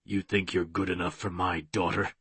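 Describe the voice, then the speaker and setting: A man voicing a cold, creepy male hybrid villain, a menacing and deliberate father figure in an audiobook. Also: sits low, level tone, fairly bright tone, husky, no room sound, pitched below the performer's own voice, full character voice.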